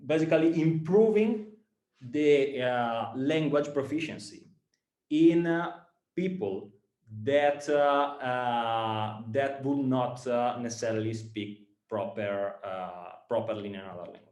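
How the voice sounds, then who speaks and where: A man from Italy with an Italian accent, a startup founder giving a talk to students, speaking haltingly with many fillers and repeated words. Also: medium pitch, a little mellow, echoey room.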